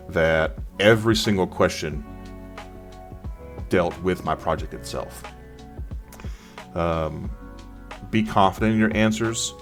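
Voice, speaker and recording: Low-voiced man giving informal tips. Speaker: presenter sharing exam presentation tips. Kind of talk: informal tutorial narration over slides.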